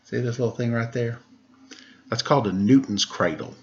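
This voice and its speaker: A man reading a rhyming picture book aloud, lilting and expressive in the verses, relaxed and chatty in the asides. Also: medium pitch, normal speed, highs cut off, a little hiss or hum.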